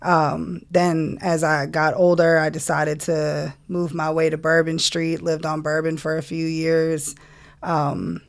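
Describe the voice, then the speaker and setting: A woman in her forties from New to match, talks through her story in a relaxed, easy way. Her voice has a gravelly edge, and she laughs now and then as she goes. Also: low voice, slow. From New Orleans, USA. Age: 40s. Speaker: guest, music manager. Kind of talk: casual podcast interview.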